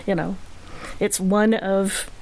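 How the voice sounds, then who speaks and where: A woman's voice: mid-range voice, steady tone, takes it slowly, a little mellow, rough recording. A woman chatting casually as co-host of an interview podcast. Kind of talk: casual chat.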